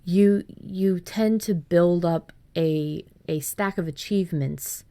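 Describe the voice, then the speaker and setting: A woman's voice: fairly low pitch, takes it slowly, mellow. A woman hosting a writing podcast, talking casually and chattily with frequent restarts and asides. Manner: chatty, many restarts and "you know". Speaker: podcast host.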